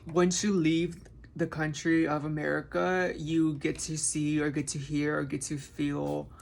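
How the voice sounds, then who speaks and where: Gravelly, slow-talking makeup vlogger man. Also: fairly high pitch, flat delivery. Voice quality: vocal fry. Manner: rambling, musing train of thought. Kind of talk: casual chat during a makeup tutorial.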